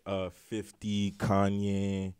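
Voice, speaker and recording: A young man from Canada, a rapper, talking casually in an interview, relaxed and rambling with lots of slang and filler. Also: low voice, drawn-out words, clean tone, no room sound. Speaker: rapper guest.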